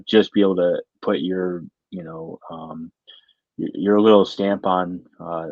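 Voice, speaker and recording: American male academic guest, middle-aged. Low, monotone delivery, earnest and halting, conversational answers. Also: no echo, highs cut off. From Michigan, United States. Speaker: university faculty member, interview guest.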